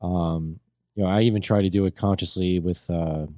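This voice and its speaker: Twenty-something guy, really low deep voice, lots of uhs, kinda hesitant, dry studio mic. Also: fairly even intonation, normal speed, warm tone, no echo. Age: 20s. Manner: hesitant, many fillers. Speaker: co-host. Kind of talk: casual talk show chat.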